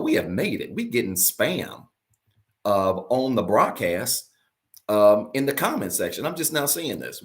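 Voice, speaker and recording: A man with a raspy morning voice preaching a livestreamed sermon as a pastor. He repeats his key points and keeps urging viewers to comment. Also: fairly low pitch, a bit bright.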